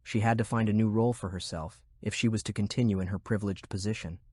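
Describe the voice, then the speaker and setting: Low-pitched man narrating an audiobook. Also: steady tone, fast talker, darkish tone, slightly rough, no echo, studio quality. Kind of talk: scripted narration of military fantasy fiction.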